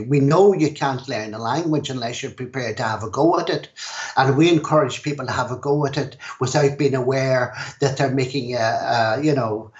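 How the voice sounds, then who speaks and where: A middle-aged man with an Irish accent, a language school director, explaining things in a measured way in a podcast interview. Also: medium pitch, muffled recording. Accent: Irish, Donegal. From Ireland.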